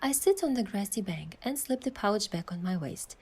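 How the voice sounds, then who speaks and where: An actress playing a playful, affectionate young heroine who softly narrates her own love story in a romance game. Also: medium pitch, animated, normal speed, slightly rough. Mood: soft narrating.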